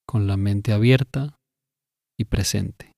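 A man narrating a scripted guided meditation in a measured, instructive way, pausing between phrases. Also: lowish voice, creaky, dark tone, no room sound, studio quality.

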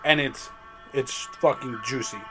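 A man chatting casually with friends, animated and profane, with Spanish as his first language. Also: mid-range voice, measured pace, faint music behind. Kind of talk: casual chat among friends.

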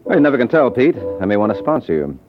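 An actor playing a tough, unflappable private detective with a dry, wisecracking, slangy way of talking in an old-time radio drama. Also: male voice, mid-range voice, big pitch swings, fast, soft background music, lightly acted. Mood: menacing, sardonic. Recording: dull, lo-fi old radio recording.

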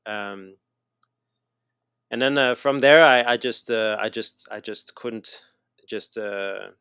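A middle-aged man with a Quebec French accent tells his story in a casual interview, halting and often repeating words mid-sentence. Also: lowish voice, a bit bright, clean tone, dry recording, lo-fi sound. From Montreal, Canada. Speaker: interview guest, educator and designer.

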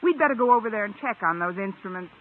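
An actress playing a quick-witted, wry schoolteacher with dry, wisecracking delivery in a 1950s radio sitcom. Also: female voice, medium pitch, animated, slightly rough. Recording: muffled old-time radio broadcast.

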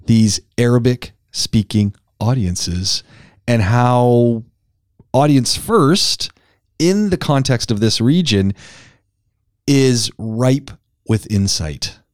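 A man hosting a marketing podcast, introducing the episode and steering the interview with a guiding, deliberate delivery. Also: mid-range voice, lively intonation, a little mellow, no room sound, clean studio sound. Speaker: podcast host.

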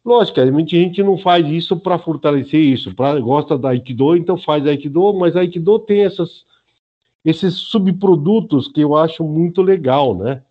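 Older Brazilian man, higher-pitched, dull audio. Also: normal speed, slightly rough. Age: early 70s. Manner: didactic, often adds checking tags. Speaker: senior Aikido instructor, interview guest. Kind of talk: informal explanatory interview talk.

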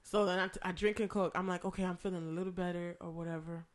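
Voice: medium pitch, fairly even intonation, fast talker. A podcast co-host who is losing their voice to a cough, telling a long, profane story in casual chat.

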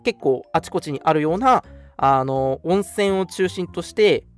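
A man's voice: fairly high pitch, expressive, measured pace, faint music behind. A young man hosting a casual radio chat, speaking in a polite presenter's way and often breaking into laughter. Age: young adult. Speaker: radio show host.

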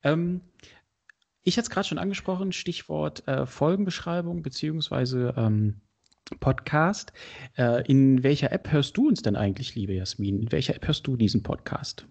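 A man's voice: medium pitch, normal speed, vocal fry, a little mellow, husky. A man from Berlin hosting a casual podcast interview, chatty and enthusiastic, often addressing his listeners directly. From Berlin, Germany. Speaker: podcast host.

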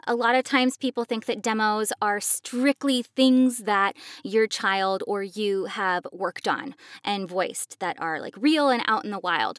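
A woman with a somewhat high-pitched voice talks as a podcast co-host. She explains things in a conversational way, like a working voice actor. The sound is very dry, with no room echo. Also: fairly bright tone.

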